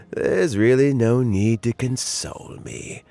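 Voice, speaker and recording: An actor playing an elderly, kindly mentor official in a video game, speaking in a gentle, reflective and deliberate way. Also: male voice, medium pitch, soft background music. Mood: amused, resigned. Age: older. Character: elderly kindly mentor official nearing retirement, fond of fishing. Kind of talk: video game dialogue acting.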